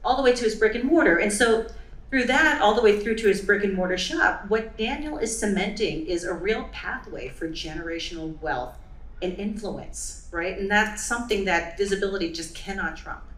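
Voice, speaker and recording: A woman's voice: medium pitch, animated, normal speed, a bit bright, far from the mic. A woman presenting to a live audience in a chatty way, often checking in with "right?" and "you know". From United States. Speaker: event speaker. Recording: echoey room, voice off-mic.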